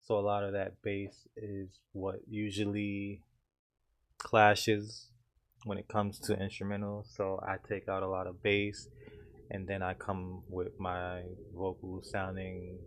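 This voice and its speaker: A man with a deep voice calmly walking through his home studio mixing setup in a casual tutorial. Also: unhurried. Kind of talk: casual screen-share tutorial.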